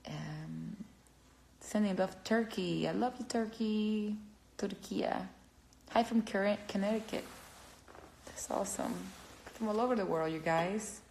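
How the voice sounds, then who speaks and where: A woman from Cuba with a Cuban Spanish accent, chatting casually on a phone livestream, halting and gushing as she answers fans. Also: mid-range voice, vocal fry, darkish tone, rough recording. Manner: halting, searching for words, gushing.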